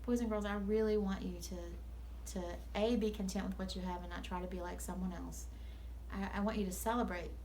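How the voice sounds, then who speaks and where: A woman's voice: medium pitch, steady tone, fairly quick, mellow, a little hiss or hum. A woman teacher speaking to children at story time in an earnest, instructive way.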